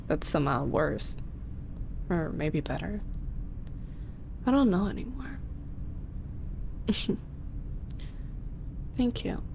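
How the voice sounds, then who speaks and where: Woman voicing a young female roommate, shy ASMR creator, soft half-whisper, unhurried, faint hum. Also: lowish voice, sing-song, creaky, exaggerated voice. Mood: tender amused. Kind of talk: ASMR audio roleplay.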